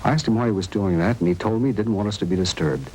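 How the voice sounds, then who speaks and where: An actor playing a shaken, indignant American doctor who has been robbed, talking in a hesitant, fussy way in a radio drama. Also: male voice, on the low side, very fast, darkish tone, natural voice, poor audio. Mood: uneasy recounting. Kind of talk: 1950s radio drama acting. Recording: old radio recording, faint noise.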